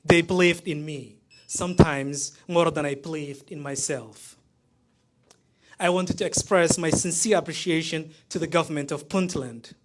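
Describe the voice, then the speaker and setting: A man in his thirties from Somalia, speaking with a Somali accent as he gives a prepared, heartfelt graduation speech, pausing between phrases. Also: on the high side, a little hiss or hum. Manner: measured, heartfelt delivery with pauses between phrases.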